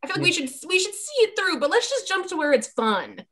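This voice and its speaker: A woman's voice: very high voice, big pitch swings, a little fast, fairly bright tone. A woman chatting casually as a member of a script reading group. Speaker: script club participant. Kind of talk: casual chat.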